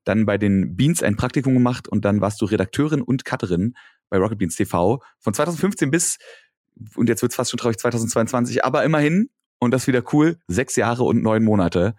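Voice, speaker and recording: A man's voice: mid-range voice, expressive, a little fast, a little mellow, dry recording, studio quality. A man with a Berlin accent hosting a podcast in casual chat, rambling and joking, often correcting himself mid-sentence. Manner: rambling, self-correcting, jokey. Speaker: podcast host.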